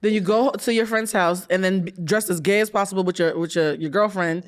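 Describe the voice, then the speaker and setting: A man's voice: high-pitched, fairly even intonation. A man hosting a podcast in a studio, interviewing his cousin in casual chat, teasing and jumping in with questions. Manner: quick, teasing, jumps in with questions. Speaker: podcast host.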